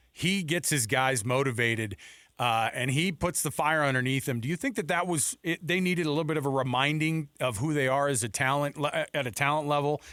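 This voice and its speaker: A man's voice: mid-range voice, a bit bright, no room sound, clean studio sound. An American man hosting a sports talk radio show in a casual, conversational way.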